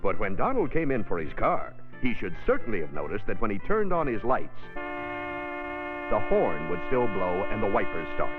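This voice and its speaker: A man's voice: fairly low pitch, huge pitch swings, moderate pace. An actor playing an exasperated, sardonic car service man narrating a comic 1960s training film in slangy, theatrical style. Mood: wry, defensive. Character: wisecracking, exasperated car dealership service man griping about customers. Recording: tinny, band-limited 1960s film soundtrack, music behind.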